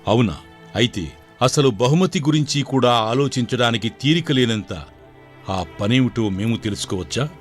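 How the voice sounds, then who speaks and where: A man's voice: mid-range voice, expressive, moderate pace, soft background music, natural voice. Man playing a dignified, questioning king. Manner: formal, declamatory. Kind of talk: dramatised Telugu folk tale.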